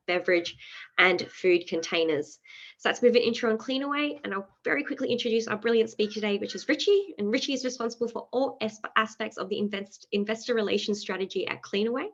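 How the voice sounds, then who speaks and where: A fast-talking woman hosts an online investing webinar and reads her prepared introduction briskly. She fills her pauses with um. Her voice comes through a dull, lo-fi video call line. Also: a little high. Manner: brisk, with frequent "um" fillers. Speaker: webinar host. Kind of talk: reading a scripted company introduction.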